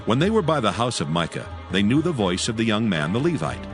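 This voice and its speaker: Low, expressive male scripture narrator. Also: a little fast, over music. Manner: solemn storytelling.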